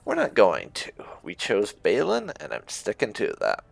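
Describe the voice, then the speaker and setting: A man giving casual roleplay commentary on a game he plays, musing and deliberate as he goes. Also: fairly low pitch, huge pitch swings, vocal fry. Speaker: gaming host, player.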